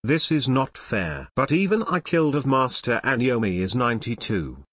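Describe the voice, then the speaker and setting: A man's voice: medium pitch, moderate pace, no echo. Synthetic male voice as a whiny jailed son. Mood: pleading. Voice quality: flat synthetic text-to-speech voice. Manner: whiny, pleading. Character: young man jailed, pleading with his father.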